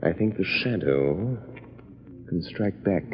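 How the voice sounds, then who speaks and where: An actor playing a suave, thoughtful amateur sleuth, measured and urbane, in 1940s radio drama style. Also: male voice, deep voice, lively intonation. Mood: grave, resolute. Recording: dull old radio recording.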